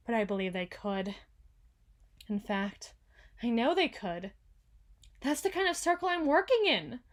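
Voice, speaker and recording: A woman's voice: fairly high pitch, lightly acted. Woman acting a frazzled, haughty female boss. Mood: grim, paranoid. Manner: formal, wordy, haughty yet flustered, stammering. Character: frazzled, proud, secretly insecure female company boss. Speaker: voice actor. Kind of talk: ASMR roleplay monologue.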